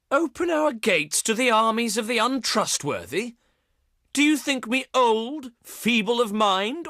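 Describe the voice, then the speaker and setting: Pompous, theatrical ancient Greek diplomat. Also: male voice, sits high, bright, forceful tone, no echo, studio quality, full character voice. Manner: pompous, declamatory, measured oratory. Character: haughty, eloquent ancient Greek diplomat, a grown man. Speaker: video game voice actor. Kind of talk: theatrical scripted game voice lines.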